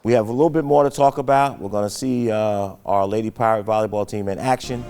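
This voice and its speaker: A man hosting a sports show who talks in a measured, observing way about the team. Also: lowish voice, steady tone, warm tone, soft background music. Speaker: show host. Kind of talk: interview and show segue.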